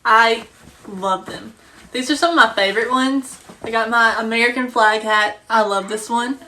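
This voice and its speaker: Playful, bright-voiced young woman. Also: a little high, moderate pace, slight background noise, poor audio. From Mississippi. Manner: playful, giggly, chatty. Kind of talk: casual self-introduction.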